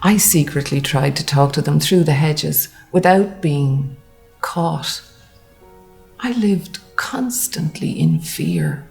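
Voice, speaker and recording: An actress playing a wounded older woman recalling a harsh childhood in a halting, sombre testimony. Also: deep voice, big pitch swings, vocal fry, a little mellow, soft background music, exaggerated voice. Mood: sombre, hurt. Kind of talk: dramatised first-person testimony monologue.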